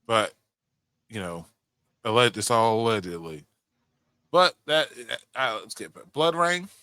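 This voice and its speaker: A man's voice: lowish voice, lively intonation, a little slow, sharp tone, dry recording. A man co-hosting a podcast, chatting casually and bluntly, with opinions and plenty of swearing. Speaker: podcast co-host. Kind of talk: casual chat about movies and games.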